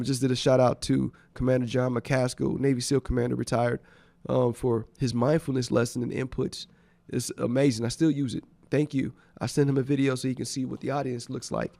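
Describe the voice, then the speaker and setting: A man's voice: medium pitch, creaky, a little mellow, dry recording. A congested man hosting a radio show, giving enthusiastic, rambling thank-yous in casual chat. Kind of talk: casual radio shout-outs. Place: radio studio.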